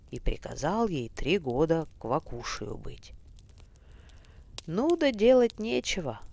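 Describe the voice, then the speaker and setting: Man doing a kindly wise old grandfather. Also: on the high side. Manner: slow, questioning, gently chiding.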